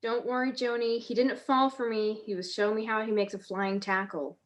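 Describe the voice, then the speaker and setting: A woman plays a quick-witted lady detective in an old-time radio mystery. Her voice is on the high side, and she delivers her lines with wry, teasing confidence. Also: level tone, lightly acted. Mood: wry, probing. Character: quick-witted wry female amateur sleuth. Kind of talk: 1940s radio mystery acting.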